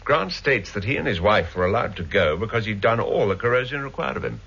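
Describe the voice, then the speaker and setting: An actor playing an authoritative senior police chief with a clipped official manner, in 1960s radio drama style. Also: male voice, lowish voice, lively intonation, brisk, creaky, a bit bright, slightly rough, full character voice. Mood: matter-of-fact. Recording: muffled vintage radio recording.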